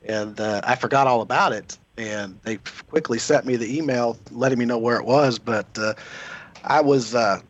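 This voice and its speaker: Slightly hoarse radio host. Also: male voice, mid-range voice, normal speed, slight background noise. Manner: rambling, self-correcting, chatty. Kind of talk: casual radio talk. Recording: slightly dull lo-fi radio audio.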